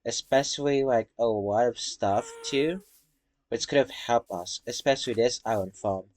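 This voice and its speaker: A young man from Indonesia narrating a tour of his game world in a halting, rambling way, tired from talking. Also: mid-range voice, darkish tone. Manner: halting, rambling, many fillers and restarts. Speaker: Minecraft world tour vlogger. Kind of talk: casual gameplay walkthrough narration.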